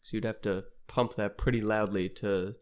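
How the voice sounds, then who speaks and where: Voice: medium pitch, steady tone, normal speed, a little mellow. A podcast co-host in casual chat, reasoning things through in a matter-of-fact, skeptical way.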